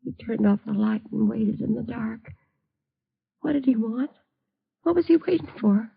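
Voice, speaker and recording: A high, crackly-voiced middle-aged mother, bedridden and afraid. She pleads and frets in haunted radio-drama style, on a dull vintage recording. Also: expressive, normal speed, vocal fry, husky, exaggerated voice. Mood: anxious, hurt. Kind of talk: old-time radio drama acting.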